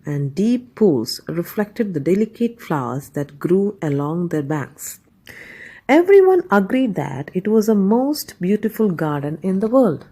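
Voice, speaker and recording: A woman narrating a scripted bedtime story as a storyteller, measured and expressive. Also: lowish voice, slightly rough.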